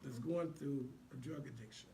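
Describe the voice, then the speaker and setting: A man's voice: mid-range voice, normal speed, mellow. Male actor guest, casual and unpolished delivery, slightly hesitant, light ambient noise. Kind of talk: casual interview talk.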